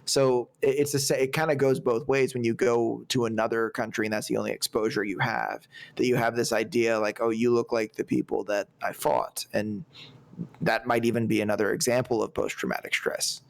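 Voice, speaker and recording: A man in his twenties chats loosely on a movie podcast, circling back and restarting his sentences. His voice sits mid-pitch with a gravelly, creaky edge. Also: fairly even intonation, brisk. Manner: rambling, many restarts and fillers. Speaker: podcast co-host. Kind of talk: casual movie podcast chat.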